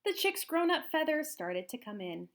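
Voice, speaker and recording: Woman reading a storybook, very lively high voice. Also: normal speed. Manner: expressive, emphatic storytelling. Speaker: storybook reader. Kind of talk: animated children's read-aloud narration.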